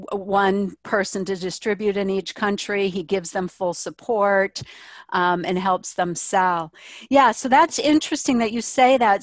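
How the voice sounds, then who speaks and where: A woman's voice: mid-range voice, no echo. A woman hosting a business podcast, asking questions and retelling earlier guests' stories in a conversational way. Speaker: podcast host. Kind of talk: interviewer chat.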